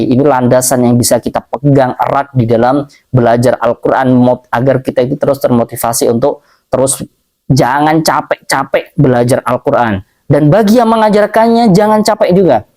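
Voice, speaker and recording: A male Islamic teacher giving a religious study lecture, explaining slowly, repeating phrases and reading Arabic passages aloud. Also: medium pitch, a little mellow.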